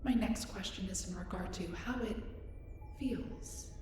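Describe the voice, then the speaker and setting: A woman's voice: mid-range voice, a little slow, natural voice. A woman playing a curious, polite young female alien in an audio roleplay, speaking in formal, precise, reserved phrasing. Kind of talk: scripted roleplay audio drama addressed to listener. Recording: echoey, distant, noisy spaceship ambience.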